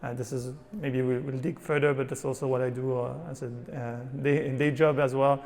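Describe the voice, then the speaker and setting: Halting man in a hall. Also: mid-range voice, level tone, a little mellow. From Switzerland. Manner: halting, many restarts and fillers. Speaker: panelist. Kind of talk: panel discussion answers. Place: conference hall on stage. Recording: reverberant conference hall.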